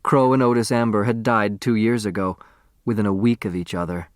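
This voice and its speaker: A man's voice: on the low side, fairly even intonation, a little fast, dry recording, clean studio sound. A man reading a novel as a scripted audiobook narrator.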